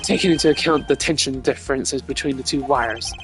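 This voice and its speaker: A cocky, combative game detective played by a man challenges his rival bluntly. The voice sits at a medium pitch, with game music behind it. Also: brisk, fairly bright tone, close to an everyday voice. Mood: scornful.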